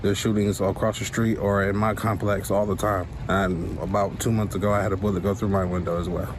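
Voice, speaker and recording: A man's voice: lowish voice, flat delivery, brisk. A man with an American accent giving a casual account as a resident interviewed for the news. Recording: noisy outdoor street interview with traffic.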